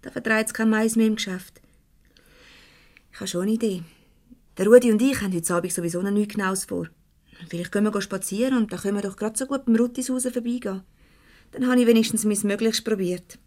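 An actress playing a brisk, conscientious office secretary with a Swiss German accent, easily exasperated, in 1970s radio comedy style. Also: female voice, mid-range voice, fairly even intonation, darkish tone, lightly acted. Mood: brisk, resolute.

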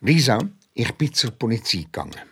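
Detective sidekick, husky, lively pitch, eager suspenseful storyteller, children's audio drama. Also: male voice, brisk, full character voice. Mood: excited, secretive. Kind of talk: children's radio detective play dialogue.

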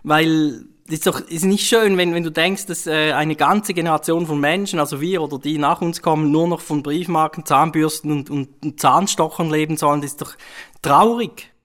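A man's voice: on the high side, steady tone, normal speed, fairly bright tone. A man talking conversationally as a money adviser, earnest and hesitant, repeating his phrases. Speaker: self-styled money adviser.

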